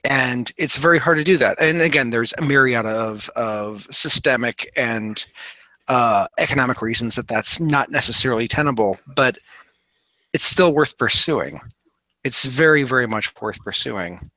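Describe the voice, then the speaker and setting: A man in earnest, conversational discussion, speaking haltingly and reflectively and repeating words for emphasis. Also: mid-range voice, bright, forceful tone, no room sound, rough recording.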